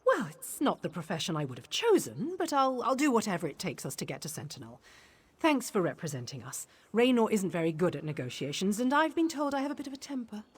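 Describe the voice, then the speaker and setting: A woman's voice: mid-range voice, quick pace, breathy edge. A woman voicing an eager, hot-tempered, talkative adventurer in a video game. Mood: willing, slightly surprised. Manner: excitable, talkative.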